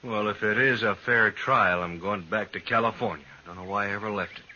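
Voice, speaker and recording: An actor playing an uneasy, pleading outlaw with a folksy, defensive manner in a 1950s radio western. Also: male voice, lowish voice, brisk, natural voice. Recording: dull, hissy old radio recording.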